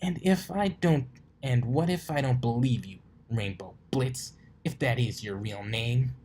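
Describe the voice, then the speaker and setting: Brash tomboy pegasus mare played by a man, pitched well up, combative and suspicious, storybook reading over audible background noise. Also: unhurried, a little mellow, natural voice. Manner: confrontational, demanding, emphatic. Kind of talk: amateur storybook character reading.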